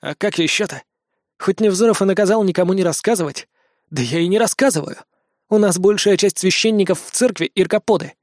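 A man's voice: high-pitched, brisk, dry recording, studio quality, lightly acted. A man doing a weary centuries-old alien. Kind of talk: audiobook character voice.

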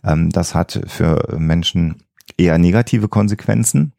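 A man's voice: deep voice, measured pace, gravelly, dark tone, no room sound, studio quality. A man who explains things as a podcast host, often rephrasing himself as he goes.

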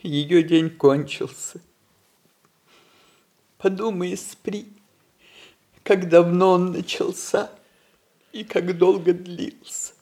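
An actress playing a gentle, dutiful grown daughter in a radio play, quietly reflective. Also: female voice, on the low side, fairly even intonation, slow, long pauses. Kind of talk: Russian radio play acting.

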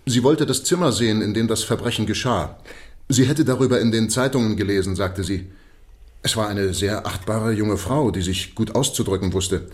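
Man playing a low-voiced, sheepish police constable. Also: normal speed, natural voice. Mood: sheepish, explaining. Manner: respectful, apologetic, plain-spoken. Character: deferential, sheepish male police constable explaining himself. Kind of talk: radio drama acting.

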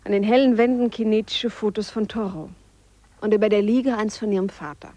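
An actress playing an earnest, reflective Swiss woman biologist who speaks a measured monologue in a radio play. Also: mid-range voice, mellow, no room sound, close to an everyday voice. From Switzerland. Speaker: biologist character. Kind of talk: radio drama monologue, spoken letter.

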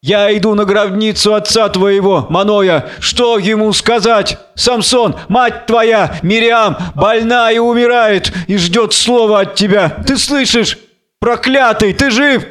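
A man's voice: sits high, steady tone, a little slow, a bit bright, smooth, voice pushed up. A man voicing a distraught, fearful blind woman in a declamatory, imploring reading of a biblical tragedy. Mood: urgent pleading.

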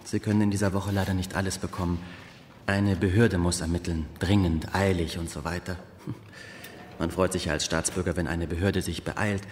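An actor playing a formal, fussy archive clerk with a wry, measured manner in a radio drama. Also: male voice, deep voice, fairly even intonation, a little mellow, natural voice. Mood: wry, courteous. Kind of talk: German radio drama acting. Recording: long hall reverb, faint room noise.

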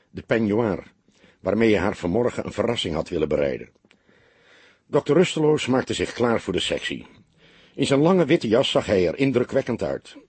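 A man narrating a crime novel as an audiobook, in expressive scripted storytelling. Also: fairly low pitch, slightly rough, no room sound.

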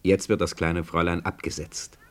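An actor as a wry storyteller, narrating a radio play vividly in the present tense. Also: male voice, low voice, little pitch movement, normal speed, close to an everyday voice. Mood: vivid, amused.

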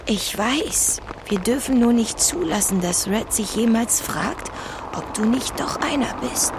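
A woman's voice: fairly high pitch, lively intonation, measured pace, noisy background. An actress playing a working-class rural mother in an audio drama, wary and coaxing, with intimate, naturalistic delivery. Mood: anxious, pleading.

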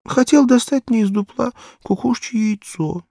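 A man voicing a pleading little beggar girl, high-pitched. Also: moderate pace, gravelly, warm tone, no echo, clean studio sound, heavily acted. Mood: pleading, tearful. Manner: plaintive, imploring. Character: pleading, frightened six-year-old beggar girl.

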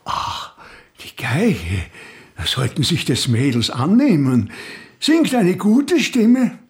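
An actor playing a gruff shopkeeper father who swings theatrically between suspicious bluster and fawning servility. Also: a little high, wildly swooping pitch, takes it slowly, exaggerated voice. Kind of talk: theatrical radio drama.

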